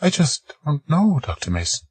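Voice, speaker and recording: A man reading an audiobook, voicing a frightened young woman in pain who falters and stammers, his voice raised but kept soft. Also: on the high side, sing-song, moderate pace, slightly rough, no room sound, voice pushed up. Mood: faltering.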